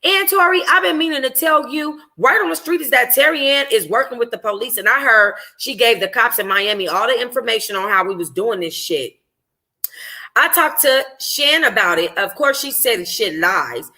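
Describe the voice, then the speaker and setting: A woman voicing an outspoken young best friend in a novel, animated, slangy and blunt. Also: on the high side, moderate pace. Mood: confiding.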